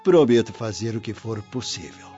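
An actor playing a formal, obliging Roman official speaking in a measured way in a radio drama. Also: male voice, on the low side, lively intonation, dark tone, lightly acted. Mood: obliging, hesitant. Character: obliging Roman official, friend of an older man. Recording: dull audio with music behind.

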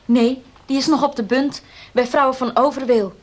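An actress playing a pert, cheeky young shop maid in a Dutch radio play, polite but saucy. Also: female voice, high voice, steady tone, normal speed. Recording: faintly hissy old radio play.

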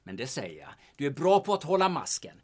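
A man's voice: a little high, very animated, breathy edge. En man som hotfull, livligt intonerande utpressare. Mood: cool, taunting. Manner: taunting, threatening, controlled. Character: menacing, insolent blackmailer from Stockholm, coolly bargaining. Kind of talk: audio drama acting.

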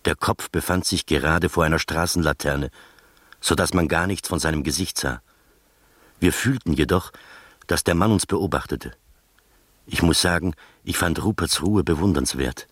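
An actor in a radio drama playing a skeptical gentleman who narrates his uncanny adventure in a measured, literary manner. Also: deep voice, no room sound, clean studio sound.

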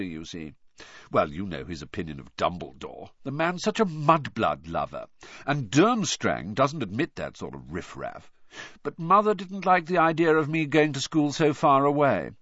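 A man's voice: mid-range voice, a bit bright, no room sound, highs cut off, voice pushed up. A man voicing a snobbish, spoilt teenage boy in an audiobook, with a sneering drawl.

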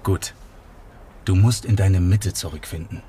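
An actor playing a smug, pompous male crank mentor who speaks in a calm, preachy, condescending way, heard inside a car. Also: sits low, a little slow, a little hiss or hum.

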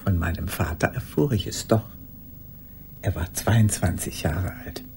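Elderly woman, dark mellow tone, halting intimate monologue, haunted by the past, radio drama. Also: very deep voice, lively intonation, a little hiss or hum. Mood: haunted, hushed. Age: older. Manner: halting, intimate, sometimes dropping to a whisper. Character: old woman haunted by a lost wartime love.

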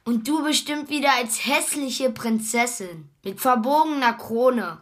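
Dreamy stubborn schoolboy, high voice, unhurried, defensive, children's radio play. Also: female voice, fairly bright tone. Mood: defiant. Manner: defensive, apologetic. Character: clumsy, stubborn, dreamy schoolboy superhero fan.

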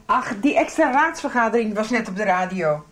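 A woman's voice: medium pitch, moderate pace, lightly acted. An actress playing a gossipy, disapproving wife and mother with a judgmental manner in a radio soap. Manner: animated, judgmental. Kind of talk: 1990s Dutch radio soap acting. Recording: roomy echo, music behind.

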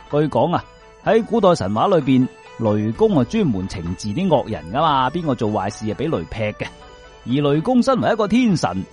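A man with a Guangzhou Cantonese accent telling scripted folk stories in an expressive, colloquial, explanatory way. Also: a little high. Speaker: storyteller and presenter of Cantonese folk sayings. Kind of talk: lively scripted storytelling narration.

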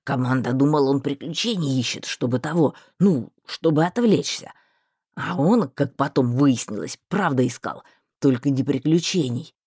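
A woman voicing a bluff, talkative male mage with a rough, folksy, rambling way of speaking. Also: very deep voice, lively intonation, normal speed, darkish tone, dry recording, studio quality, voice pushed down, heavily acted.

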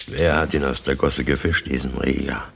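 A crime boss in a vintage radio drama. His voice is extremely low and creaky, and he speaks in clipped, deliberate phrases with cold authority and contempt. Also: fairly even intonation, heavily acted. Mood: bitter contempt. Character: hard, commanding male crime syndicate boss, bitterly contemptuous. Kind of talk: 1960s German radio play acting.

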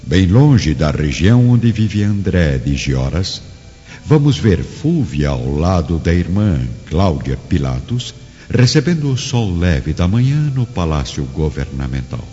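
Brazilian man, solemn radio drama narrator, dark mellow tone, theatrical phrasing, long reverb, music underneath. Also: low-pitched, sing-song, unhurried, husky. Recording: dull audio, long reverb, music behind.

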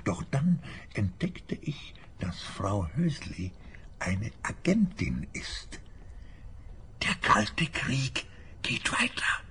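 A man's voice: fairly low pitch, very animated, very slow, pushed and bright, a little hiss or hum, exaggerated voice. An actor playing a paranoid, self-important old man in a radio play, speaking in a secretive, intense way. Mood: aggrieved, suspicious. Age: older.

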